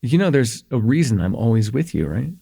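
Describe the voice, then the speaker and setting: A man playing a shy, smitten young student in an intimate ASMR roleplay, with an earnest, hesitant delivery and a husky, slightly gravelly voice. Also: medium pitch, big pitch swings, a little fast, heavily acted. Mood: nervous, tender. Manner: hesitant, earnest, stammering, chuckling softly between phrases.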